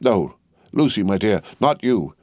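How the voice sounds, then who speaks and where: A man voicing an anxious, devoted elderly gentleman in a storybook reading, earnest and imploring. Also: fairly low pitch, very animated, measured pace, no echo, studio quality. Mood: urgent, soothing.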